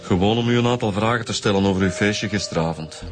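Guy playing flemish cop inspector, kinda low voice, matter of fact, radio drama, bit of music behind. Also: fast, natural voice. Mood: calm. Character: quick-thinking male federal police inspector. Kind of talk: naturalistic radio drama acting. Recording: music under the dialogue.

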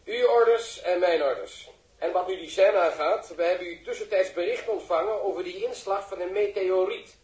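An actor playing a fussy, bossy quiz host in a 1960s radio drama, formal and officious. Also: male voice, sits high, normal speed, a little mellow, natural voice. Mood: officious, insistent. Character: fussy, bossy male TV quiz host directing a staged scene. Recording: roomy old radio recording.

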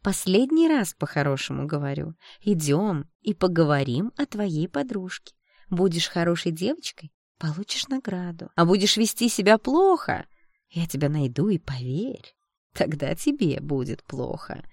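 A woman's voice: mid-range voice, big pitch swings, normal speed, warm tone, dry recording, pitched above the performer's own voice. Lady doing a nasty young bully girl from an audiobook, sneery and bossy, fake sweet, threatening, close dry sound. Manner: commanding, hissing, sneering. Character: spiteful, menacing young female student bully, fake-sweet.

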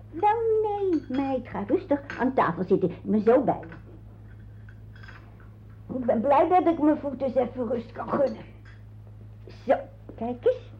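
A woman playing a chatty, motherly working-class neighbour in a radio play, homely and hospitable in manner. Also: on the high side. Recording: dull, noisy lo-fi radio play recording.

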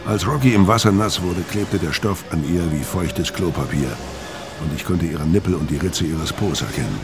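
A man's voice: low-pitched, moderate pace. An actor in a radio crime drama playing a laconic, brooding, world-weary middle-aged tough guy. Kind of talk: first-person narration in radio crime drama.

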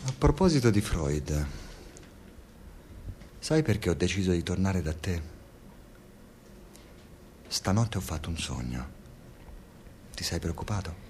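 A man's voice: sits low, big pitch swings, slow pace, long pauses, a little mellow, slight background noise, full character voice. A wordy, insecure researcher in a naturalistic radio drama. He has an Italian accent and rambles from one thought to the next, needling whoever he is talking to with an intellectual, slightly nervous manner. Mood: musing, uneasy.

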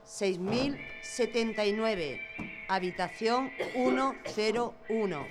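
A woman's voice: fairly high pitch, takes it slowly. A woman playing a cold authoritarian prison announcer in a radio drama, official, in clipped phrases. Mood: cold, commanding. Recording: loud music over the voice.

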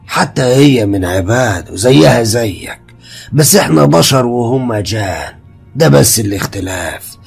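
Brief: male actor as a rough-voiced Egyptian seer, taunting and sinister, medium pitch. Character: menacing male fortune teller, cold and mocking.

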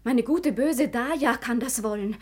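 High-voiced, impassioned young daughter. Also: female voice, a little slow, a little mellow. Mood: despairing. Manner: impassioned, pleading, theatrical. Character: distraught, devoted young daughter, innocent and passionate. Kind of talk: classical German radio play acting.

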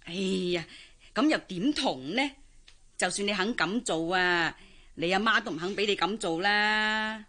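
A woman's voice: medium pitch, a little slow, bright, forceful tone. A middle-aged Hong Kong Cantonese auntie in a radio drama, with a mid-range voice. She is traditional and pushy, coaxing and nagging with sighs and exclamations. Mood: scandalised insisting. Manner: coaxing, exclaiming, sighing interjections. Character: pushy, traditional older auntie urging a girl to marry.

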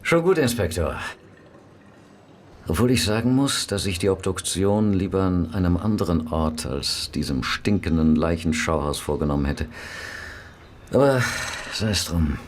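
An actor playing a matter-of-fact, grumbling police pathologist in a German radio play, dry and clinical. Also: male voice, sits low, expressive, normal speed, a little hiss or hum.

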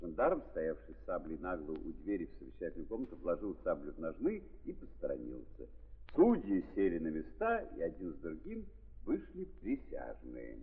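An actor as a wry literary narrator, reading with deliberate, expressive phrasing in a 1930s theatrical style. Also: male voice, low voice, slightly rough, heavily acted. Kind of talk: scripted dramatic narration, 1930s stage reading. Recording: muffled, tinny 1930s recording, long reverb.

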